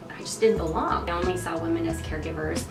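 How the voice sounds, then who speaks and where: Thoughtful thirty-year-old woman, lowish voice. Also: sing-song, fast talker, gravelly. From Salt Lake City, Utah. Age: 30s. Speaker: interviewee. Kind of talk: documentary interview. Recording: roomy, distant mic with music bed.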